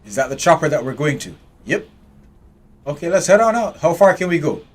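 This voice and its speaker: A man's voice: on the high side, expressive, a little fast. A man from Jamaica, giving blunt, profane live commentary while streaming a game.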